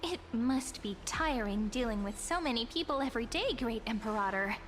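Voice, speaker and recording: A woman as an earnest high-voiced young game heroine. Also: lively intonation, moderate pace, a little hiss or hum, close to an everyday voice. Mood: polite, careful. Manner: polite, earnest. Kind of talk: video game voice acting.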